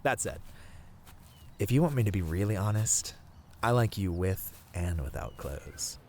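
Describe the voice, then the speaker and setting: A man's voice: lowish voice, lively intonation. Guy playing shy sweet boyfriend in romance asmr, rambly and tender, voice swoops around, noisy ambience behind. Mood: fond, teasing. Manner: tender, flustered, halting, with soft chuckles. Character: sweet, bashful, rambling boyfriend on a picnic date. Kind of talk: romantic ASMR roleplay acting.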